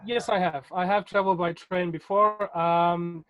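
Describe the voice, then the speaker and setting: A woman's voice: fairly low pitch, fairly even intonation, moderate pace, no room sound, lo-fi sound. A woman from Zimbabwe with a Zimbabwean accent, answering casually and hesitantly as an interview guest, repeating phrases.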